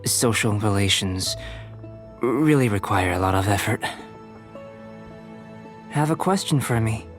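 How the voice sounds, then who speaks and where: A man voicing a calm, politely detached young alchemist in a video game dub, speaking in a measured, precise and thoughtful way. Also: lowish voice. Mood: composed, curious. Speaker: voice actor playing a video game character. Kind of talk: scripted English game dub voice lines. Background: music.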